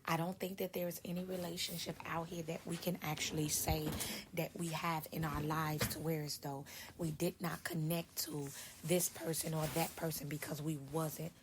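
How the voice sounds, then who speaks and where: A woman who writes and speaks on leadership, giving a casual motivational talk to her viewers in a hoarse voice, stressing her points by repeating them. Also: low-pitched, level tone, normal speed, noisy background. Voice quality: raspy, husky. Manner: emphatic, repeats key points.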